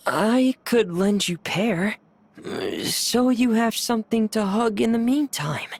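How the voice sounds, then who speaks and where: A composed, slow-spoken courteous lady. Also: a little high, animated, exaggerated voice. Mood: gracious, teasing. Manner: polite, unhurried. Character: courteous, composed, gently teasing young woman. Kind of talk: video game character acting. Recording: loud game music behind.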